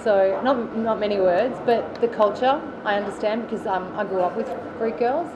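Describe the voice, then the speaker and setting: An Australian woman talks casually through interview answers, circling back with fillers and restarts. Her voice sits at an ordinary middle pitch. A loud steady noise runs under the whole recording. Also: normal speed, muffled recording. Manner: chatty, many fillers and restarts. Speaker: actress interviewee.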